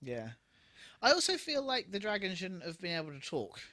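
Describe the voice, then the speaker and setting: Male podcast co-host, animated and piercingly bright, close dry sound. Blunt, sweary, opinionated casual chat. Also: high-pitched, moderate pace, dry recording. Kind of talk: casual unscripted TV re-watch chat.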